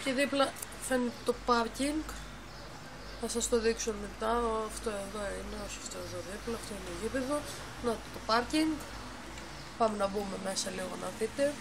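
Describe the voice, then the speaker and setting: Μια γυναίκα με λίγο ψηλή φωνή ξεναγεί χαλαρά σε ένα ψηφιακό γήπεδο, κομπιάζει και διορθώνει συχνά τα λόγια της. Μιλά σε ένα σκοτεινό δωμάτιο δίπλα σε υπολογιστή. Η εγγραφή είναι από κινητό τηλέφωνο και έχει έντονο θόρυβο από πίσω. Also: female voice, on the high side. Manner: halting, self-correcting, explains step by step. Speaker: game builder giving a tour. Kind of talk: casual tour of a game build. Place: dim room at home, by a computer. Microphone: phone camera filming the monitor. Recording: noisy home phone recording.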